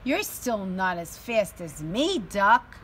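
A woman's voice: medium pitch, very animated, slow, fairly bright tone. A woman voicing skeptical farm animals thinking dry, judging thoughts in a children's picture book. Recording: some background noise, outdoor ambience.

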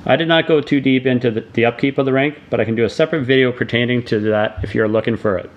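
A middle-aged man, reading a scripted voice-over tutorial in steady step-by-step instructions. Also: medium pitch, fast, muffled recording, slight background noise. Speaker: DIY channel host.

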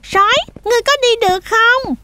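A woman voicing a patient, cheerful mother goat in a children's cartoon, explaining things in a motherly, gently teasing way. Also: very high voice, a little fast. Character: patient, cheerful mother goat guiding her kids on tours.

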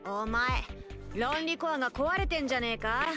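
An actress playing a small, bossy young company president, blunt, tomboyish and commanding. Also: female voice, high voice, normal speed, lightly acted. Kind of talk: Japanese anime game acting. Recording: loud music behind.

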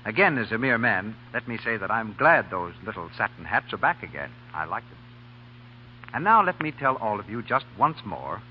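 A man's voice: lowish voice, very animated, moderate pace. Formal 1940s male radio announcer. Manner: formal, courteous. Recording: muffled, noisy 1940 radio broadcast.

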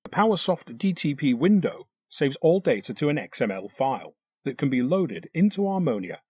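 Man narrating a tutorial, lively pitch, dry sound. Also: normal speed, breathy edge, no echo. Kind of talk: scripted instructional narration.

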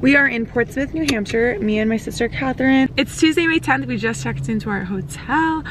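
A woman's voice: fairly high pitch, background noise. A young woman in her twenties chatting bubbly and casually to a handheld camera, talking through her travel plans as a vlogger. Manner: bubbly, chatty, quick asides. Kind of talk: casual vlog chat to camera. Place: car, then hotel room. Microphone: handheld camera at arm's length.

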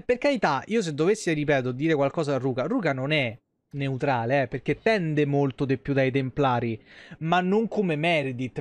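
A man giving colloquial, rambling game commentary into a close microphone. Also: a little high. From Italy. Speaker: live game streamer. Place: small indoor streaming room. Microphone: close desk microphone.

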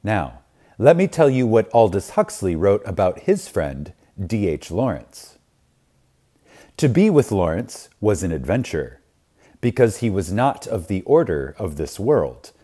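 Male lecture reader: very slow and reverent, widely varied intonation, deliberate pauses and emphasis. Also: lowish voice, warm tone. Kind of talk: scripted spiritual lecture reading.

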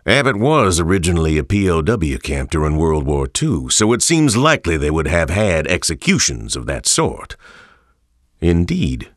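An actor playing a grave, scholarly chronicler of monsters, reading journal narration formally in a horror video game. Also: male voice, extremely low pitch, a bit bright, dry recording, studio quality, heavily acted. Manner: formal, ominous, measured.

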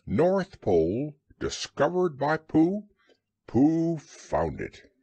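A man voicing a cheerful, kind young boy in a storybook reading, with a bright, lifted, encouraging voice. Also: on the high side, big pitch swings, drawn-out words, breathy edge, no echo, voice pushed up, exaggerated voice. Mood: kindly.

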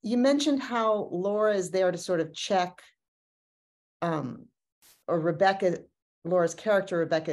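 Lowish-voiced woman explaining on a video call. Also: a little mellow. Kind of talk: conversational panel discussion. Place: home room, on a video call.

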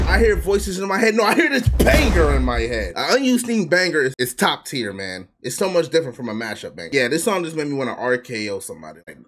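A young man hosting a casual reaction video from his bedroom on a headset mic, hyped and excitable. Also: fairly high pitch, a little hiss or hum. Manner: excitable, hype, sings lines back. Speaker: YouTube reaction host. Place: bedroom at home.

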